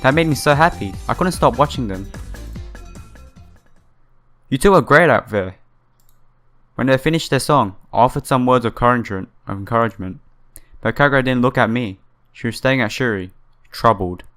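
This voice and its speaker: A man reading a visual novel aloud and commenting casually as a let's player, halting and informal. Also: medium pitch, a bit bright. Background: game music.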